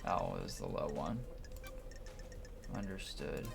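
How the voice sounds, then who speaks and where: A man's voice: rumbling low, sing-song, takes it slowly, gravelly. A man doing gameplay commentary, musing aloud to himself and reading game text out. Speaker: gamer doing a playthrough. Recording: music behind the voice. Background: game music.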